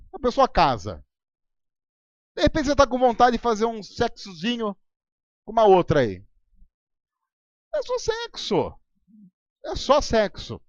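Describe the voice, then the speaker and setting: A man's voice: sits high, no room sound, highs cut off. A man preaching a sermon as pastor in a Brazilian Portuguese accent, talking conversationally with sarcastic asides and ironic voices. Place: church hall.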